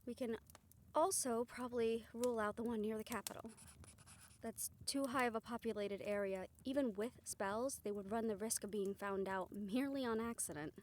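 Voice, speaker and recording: A woman's voice: on the high side, moderate pace, slight background noise, natural voice. A woman acting a good-natured young spellcaster in an audio roleplay, talking in a casual, folksy, wry way. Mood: thoughtful.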